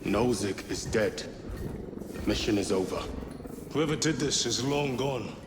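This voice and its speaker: An actor playing a forceful, defiant space marine in a video game, terse and urgent in delivery. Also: male voice, mid-range voice, unhurried, close to an everyday voice. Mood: grim, defiant. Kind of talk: dramatic video game cutscene acting. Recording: echoey, clear noise behind.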